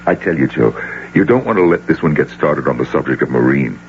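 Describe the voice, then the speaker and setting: A man's voice: extremely low pitch, lively intonation, a little fast. An actor playing an urbane, teasing, self-assured male tycoon in a 1980 radio drama. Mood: playful. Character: genial, teasing, powerful male industrial tycoon. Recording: muffled, hissy old radio broadcast.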